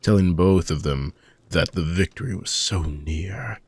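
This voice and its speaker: A man playing an ancient, weary dark mage villain with a gravelly, husky voice, speaking in soft, deliberate, formal phrases as an intimate fantasy audio roleplay monologue. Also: deep voice, dry recording, exaggerated voice. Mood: solemn, brooding. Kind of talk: slow, intimate dramatic monologue for sleep-aid audio roleplay.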